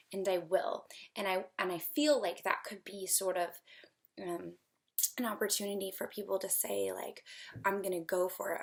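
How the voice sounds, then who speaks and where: A woman composer from the United States introducing her choral piece in an earnest, upbeat way, with frequent ums and restarts. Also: on the low side.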